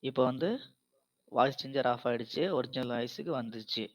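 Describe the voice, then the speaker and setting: A man's voice: medium pitch, fairly even intonation, a little fast. A man narrating a step-by-step app tutorial in a plain, informal, instructional way. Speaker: tutorial host.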